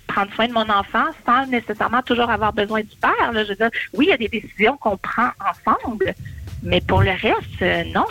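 A talkative woman in her forties with a Québécois accent, heard as a call-in guest on the radio. Her pitch sits a little high, and she often repeats her words. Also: expressive, fairly quick, sharp tone, dry recording, low-quality recording. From Quebec. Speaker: caller. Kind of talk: call-in conversation.

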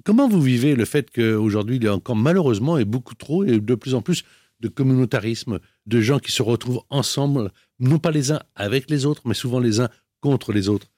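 A man's voice: medium pitch, brisk, warm tone, dry recording. Probing male interviewer, dry sound. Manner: probing, rephrases questions. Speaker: interviewer, host.

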